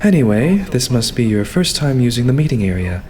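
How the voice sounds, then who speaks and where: A man playing a young idol butler in an anime-style fan dub, formal and courteous with a quietly condescending edge. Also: medium pitch, big pitch swings, a little hiss or hum, natural voice. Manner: formal, courteous, measured, servile phrasing.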